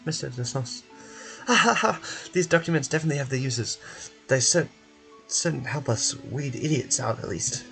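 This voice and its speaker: A man's voice: medium pitch, normal speed, gravelly, a bit bright, faint music behind. Man putting on a genial, emphatic institute director. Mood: amused, confident.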